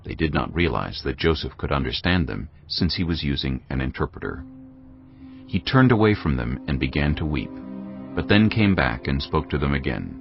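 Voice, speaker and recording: Very deep, gravelly male narrator. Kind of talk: dramatized scripture narration, scripted. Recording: dull audio with clear music.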